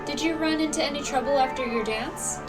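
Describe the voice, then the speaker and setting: Casual, fairly high-voiced woman streamer. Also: brisk. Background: game music.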